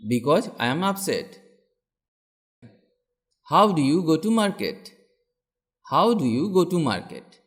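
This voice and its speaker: Male teacher voice for drills; slow and deliberate, animated intonation on questions and answers. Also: a little high. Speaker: English teacher reading practice phrases. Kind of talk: slow model sentences for learners, each said twice.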